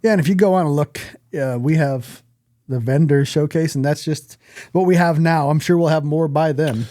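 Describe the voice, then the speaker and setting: A man's voice: a little high, normal speed, dark tone, dry recording, clean studio sound. A man hosting a podcast in a casual, conversational style, speaking bluntly and swearing freely. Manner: blunt, profane. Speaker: podcast host. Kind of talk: casual chat.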